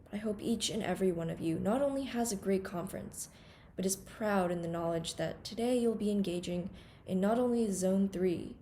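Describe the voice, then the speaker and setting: A teenage woman from Hong Kong delivering a prepared welcome speech at a student conference in an earnest, formal, measured way. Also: mid-range voice, dark tone, slight background noise. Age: teens. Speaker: conference undersecretary general giving a welcome speech.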